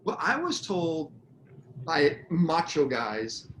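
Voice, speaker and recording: A middle-aged man co-hosting a relationship talk show, jokey and animated, prompting his partner to explain. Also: on the high side, takes it slowly, background noise. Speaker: podcast co-host. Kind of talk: casual chat. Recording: echoey room, faint ambience.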